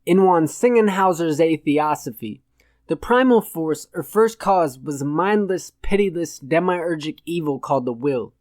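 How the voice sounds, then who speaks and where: A man's voice: fairly high pitch, lively intonation, moderate pace, darkish tone, dry recording. A man narrating satirical fiction as a scripted audiobook reading.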